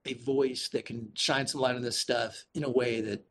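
A man's voice: mid-range voice, fairly even intonation, moderate pace, a bit bright. Earnest man talking casually over background noise. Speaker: podcast guest, activist and author. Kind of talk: casual conversational interview answers.

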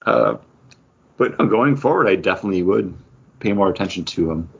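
A man's voice: low voice, animated, a little fast, gravelly, breathy edge. A man co-hosting a podcast, giving his take on comic books in casual chat. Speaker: podcast co-host. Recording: dull lo-fi podcast audio.

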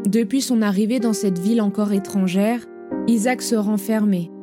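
Woman narrating a story, measured and solemn, piano playing clearly underneath. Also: medium pitch, fairly even intonation. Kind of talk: scripted audio drama narration. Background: piano music.

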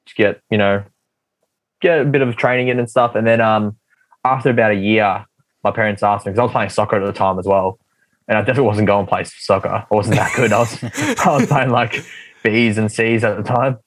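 A man's voice: lowish voice, steady tone, brisk. A young man, a guest chatting casually, rambling with many fillers. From Australia. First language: Russian. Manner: rambling, filler-heavy, easygoing. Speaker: guest athlete. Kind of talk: casual podcast chat.

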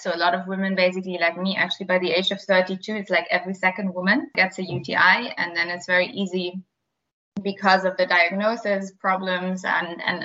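A woman's voice: fairly low pitch, sharp tone, dull audio. A woman in her thirties from Germany with a German accent, explaining things in an interview with many fillers and repeated words. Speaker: guest, biotech founder. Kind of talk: interview answers.

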